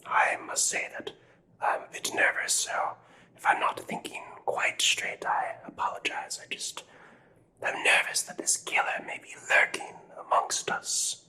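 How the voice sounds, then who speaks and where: A man's voice: unhurried, bright, forceful tone, rough recording. A man playing a nervous, courteous doctor in a whispered ASMR mystery roleplay, speaking in formal, hesitant phrases. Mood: nervous, perplexed. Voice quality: breathy whisper. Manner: formal, hesitant, old-fashioned polite phrasing. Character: nervous, courteous, perplexed doctor aiding a murder investigation.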